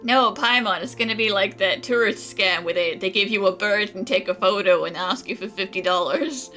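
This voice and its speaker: A woman's voice: mid-range voice, moderate pace, pushed and bright. A woman gaming streamer giving casual, wisecracking commentary with a sarcastic streak. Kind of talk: casual joking game commentary. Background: game music.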